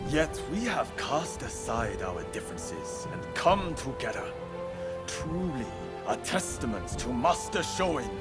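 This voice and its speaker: A man's voice: medium pitch, sing-song, unhurried, loud music behind the voice. An actor playing a fervent samurai rebel leader in a video game, making a solemn, formal, impassioned speech to his followers. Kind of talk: dramatic video game oratory.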